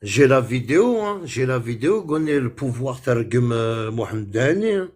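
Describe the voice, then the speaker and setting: A man's voice: mid-range voice, big pitch swings, moderate pace, a little mellow. A man from France talking on a phone live stream, indignant and repetitive, dropping Arabic words into his speech. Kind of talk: heated casual live-stream rant.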